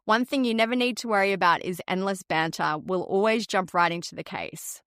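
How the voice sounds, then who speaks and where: A woman's voice: mid-range voice, brisk, a bit bright, no room sound, clean studio sound. Australian woman hosting a podcast. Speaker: podcast host. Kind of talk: scripted true crime podcast narration.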